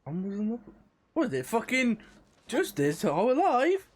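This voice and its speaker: A woman's voice: fairly high pitch, wildly swooping pitch, moderate pace, darkish tone, breathy edge. A woman giving casual, sweary, irreverent commentary while streaming a video game.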